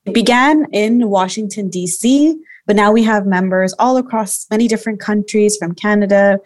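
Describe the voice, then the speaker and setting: Dry-sounding woman advocate, medium pitch. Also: moderate pace, a little mellow, no echo. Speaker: guest, human rights advocate and lawyer. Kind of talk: interview answer.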